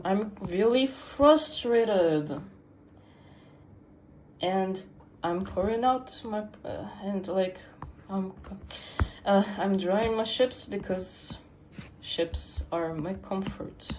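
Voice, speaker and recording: A teenage girl talks slowly through a casual livestream, trailing off and restarting her sentences. Her voice sits at a middle pitch, and a faint ambient hiss runs underneath. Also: a little mellow, low-quality recording. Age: teens. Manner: hesitant, full of fillers and restarts. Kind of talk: rambling casual stream chat.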